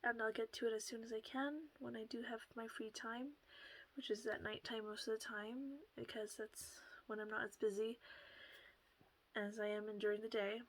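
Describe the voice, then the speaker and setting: Woman, soft voice, slightly high, breathy half-whispers, rambling casual talk, headset at home. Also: flat delivery, normal speed, low-quality recording. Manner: rambling, with little laughs. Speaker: channel host. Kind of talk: casual solo talk to camera.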